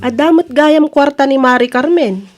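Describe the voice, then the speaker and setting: A woman's voice: high voice, moderate pace, over music, natural voice. A woman with an Ilocano accent acting an indignant, nagging wife chasing a debt in a comedy radio drama. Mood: gossipy.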